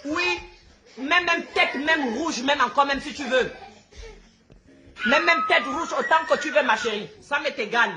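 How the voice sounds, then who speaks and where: A woman's voice: high-pitched, measured pace, background noise. A woman with a Gabonese accent, a native Fang speaker, ranting live to her followers in an outspoken, combative, repetitive way. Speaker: social media host.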